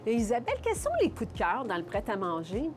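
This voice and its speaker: A woman's voice: mid-range voice, huge pitch swings, fast, over music. A woman with a Quebec French accent hosting a local TV magazine, speaking in a polished, enthusiastic presenter style. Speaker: TV host and interviewer.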